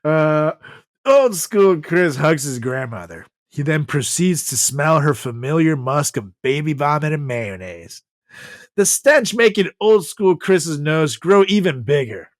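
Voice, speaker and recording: A man co-hosting a comedy podcast, giggly and halting as he banters and reads a comic story. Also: on the high side, lively intonation, no echo, clean studio sound. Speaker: podcast co-host.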